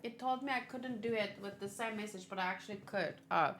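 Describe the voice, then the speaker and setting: A woman's voice: mid-range voice, brisk, a bit bright, slight background noise. A woman chatting casually on a game livestream, thinking aloud as she plays. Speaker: game streamer. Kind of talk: casual livestream chat while playing a video game.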